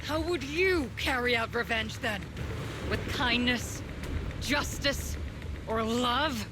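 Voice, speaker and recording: An actress playing a proud, bitter fallen soldier commander out for vengeance in a video game, with solemn, theatrical delivery. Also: female voice, on the high side, slow pace, background noise. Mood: bitter, resolute. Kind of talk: dramatic video game voice acting. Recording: game dialogue under loud music. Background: loud dramatic game music.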